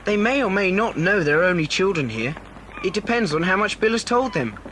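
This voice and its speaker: A man's voice: sits high, lively intonation, brisk, fairly bright tone. A man playing a sensible, cautious boy with a British accent in a children's audio adventure. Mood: wary, reasoning. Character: sensible, wary boy adventurer reasoning things out. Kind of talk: acted children's audiobook dramatisation. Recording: noisy old cassette recording.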